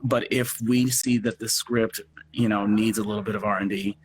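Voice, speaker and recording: A man chatting casually as an interview guest, expressive and thinking aloud as he explains his work. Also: on the low side, normal speed, slight background noise, poor audio. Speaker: radio play producer and guest. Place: remote live video chat.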